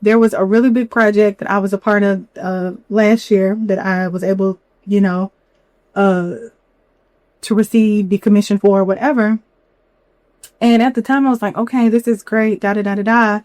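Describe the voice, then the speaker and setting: A young woman hosting a solo podcast, a little hoarse from a cold, talking candidly with lots of "you know". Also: mid-range voice, steady tone, moderate pace, a little mellow, dry recording. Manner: candid, chatty, many fillers and "you know". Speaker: podcast host. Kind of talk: reflective solo podcast talk.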